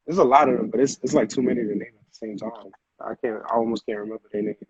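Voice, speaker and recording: A man's voice: medium pitch, fairly even intonation, quick pace, highs cut off, crowd noise. An American man chatting casually as an interview guest, with slangy phrasing and a habit of repeating himself. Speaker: music producer, interview guest.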